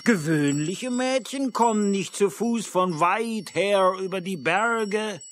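A man playing a small, solemn old village elder in a children's audio play, speaking formally and gravely. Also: high-pitched, takes it slowly, fairly bright tone, soft background music. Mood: reverent.

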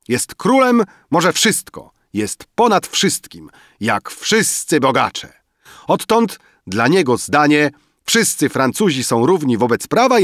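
A man voicing an exuberant, boastful banker in an audiobook, declaiming loudly and pompously as if proposing a grand toast. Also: on the high side, dry recording, studio quality, pitched above the performer's own voice. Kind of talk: dramatized audiobook dialogue.

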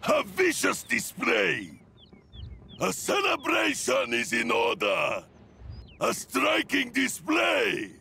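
A menacing male demon warrior from a video game, relishing slaughter. The voice is raspy and heavily gravelled, pitched high for a man. He booms out each line theatrically and very slowly. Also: huge pitch swings, bright, forceful tone, shouting, slight background noise, full character voice. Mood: grand approval. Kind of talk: video game character voice lines.

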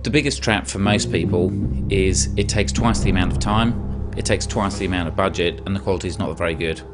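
Deep-voiced man giving expert comment. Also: a little fast. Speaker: property expert, chief executive. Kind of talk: expert interview. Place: dim office indoors. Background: loud music.

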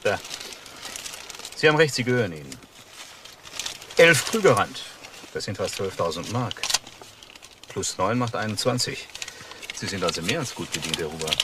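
An actor playing a calculating, sardonic husband in a crime drama, speaking in a cool, dryly taunting manner. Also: male voice, lowish voice, big pitch swings, moderate pace, pauses often. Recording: noisy archive crime drama audio.

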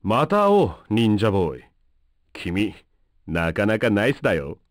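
A man's voice: lowish voice, sing-song, measured pace, no echo, studio quality. A man playing a laid-back, playful fighter who teases with false modesty, in anime game style. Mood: teasing, languid. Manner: laid-back, smooth teasing.

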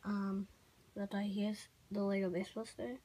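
A child giving a casual, halting show-and-tell narration while filming on a handheld phone in a room at home. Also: mid-range voice, little pitch movement, warm tone. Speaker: amateur toy video maker. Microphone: handheld phone behind the camera. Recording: noisy handheld home recording with clicks.

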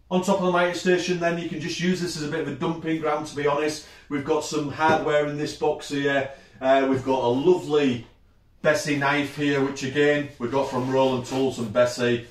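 British man, 30s, easygoing workshop-tour host; mid pitch, plain chatty delivery, small-room camera sound. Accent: British English. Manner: relaxed, chatty, lists tools in short phrases. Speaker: woodworking channel host. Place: small indoor woodworking workshop.

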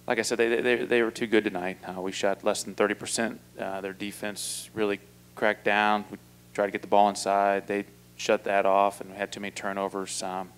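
Male coach, 40s, postgame presser, table mic, low-ish voice with little pitch movement, halting. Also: a little hiss or hum. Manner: measured, flat delivery with many fillers and restarts. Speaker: basketball head coach. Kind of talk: postgame press conference answers. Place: indoor press room. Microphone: table mic in front.

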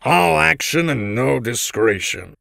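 A man playing a stern, displeased government official in an audio drama, curt and authoritative, with a gravelly voice. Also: mid-range voice, lively intonation, slow pace, fairly bright tone, no room sound, clean studio sound, heavily acted. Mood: stern reproach. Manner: curt, authoritative reprimanding delivery.

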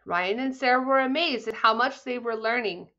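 A woman in her forties reading a children's storybook aloud in an expressive, deliberate way. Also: on the high side, muffled recording. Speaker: storybook reader. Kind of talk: children's read-aloud, scripted narration.

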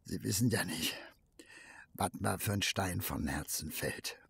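An actor playing an anxious, simple working man in a radio drama, raspy, colloquial and deferential. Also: sits low, unhurried, fairly bright tone, heavily acted. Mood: relieved, unsure. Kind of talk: radio drama acting in German.

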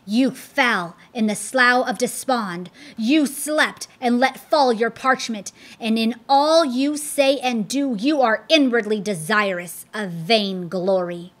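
A woman reading aloud, voicing a menacing male fiend who declares himself prince of destruction, loud and threatening. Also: on the high side, takes it slowly, hardly any pauses. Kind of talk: storybook read-aloud.

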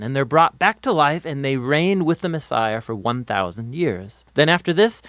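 An American man giving a scripted educational narration in a measured, explanatory way, as the narrator of an explainer video. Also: medium pitch, no room sound. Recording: dry studio voice-over.

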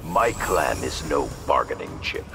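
An actor playing a stern, honourable clan-leader warrior in a fighting game, solemn and unyielding. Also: male voice, very deep voice, expressive, a little slow, fairly bright tone, recorded from a distance, soft background music, exaggerated voice.

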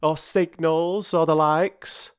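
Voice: mid-range voice, fairly even intonation, slow, smooth, pitched above the performer's own voice, natural voice. A narrator voicing an indignant working-class railway porter from a children's storybook, lofty and aggrieved, with ungrammatical speech. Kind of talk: children's storybook character reading.

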